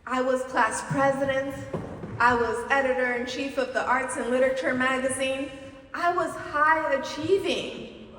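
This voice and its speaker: Woman, from the Dominican Republic, keynote speaker, deliberate rhetorical pauses, very slow delivery, distant mic, long hall reverb. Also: sits high, fairly bright tone, slight background noise. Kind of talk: commencement speech. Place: large auditorium stage.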